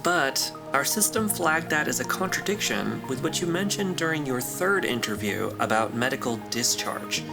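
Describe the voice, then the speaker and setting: Easygoing interviewer, lively intonation. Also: male voice, mid-range voice, creaky, music in the background. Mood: serious, probing. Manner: informal, reassuring, tactful, measured. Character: smooth, easygoing corporate interviewer at aerospace company. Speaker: actor in audio drama. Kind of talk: naturalistic sci-fi audio drama acting.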